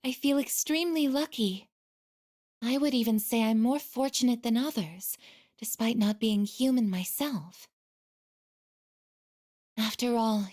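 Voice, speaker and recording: Gentle young female android, game voice acting, higher-pitched, very unhurried, formal, earnest, softly hesitant. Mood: conflicted, tender. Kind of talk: English video game voice acting.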